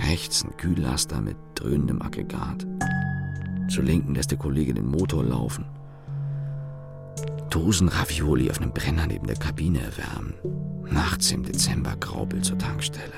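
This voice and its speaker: A man's voice: rumbling low, animated, normal speed, vocal fry, over loud music, exaggerated voice. An actor playing a bitter, cynical working man who mutters his thoughts in clipped, cursing fragments in a radio play. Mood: grim. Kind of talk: radio play interior monologue.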